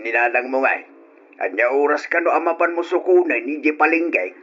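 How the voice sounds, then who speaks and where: Radio drama father: bossy nagger, raised pitch, sharp bright voice, lo-fi broadcast. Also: fairly quick. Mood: urgent nagging. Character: nagging, bossy middle-aged father urging his son on. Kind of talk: old radio drama acting. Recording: dull, lo-fi old radio drama.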